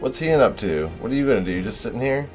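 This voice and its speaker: A man's voice: medium pitch, lively intonation, fast, darkish tone, soft background music. A man streaming a game live, reading the dialogue aloud, restarting words and swearing and laughing in asides.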